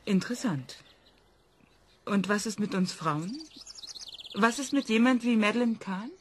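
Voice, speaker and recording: A woman's voice: medium pitch, unhurried, a little hiss or hum, close to an everyday voice. A woman playing a probing journalist. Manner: calm, pointed questions. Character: probing female journalist, level-headed. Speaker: interviewer for a publication. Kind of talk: radio play acting.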